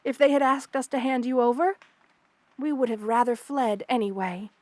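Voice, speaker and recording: A woman voicing a kind, protective spider queen in an ASMR roleplay, speaking intimately and soothingly to the listener with hesitant pauses and formal wording. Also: a little high, steady tone, a little mellow, no echo, natural voice. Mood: firm reassurance.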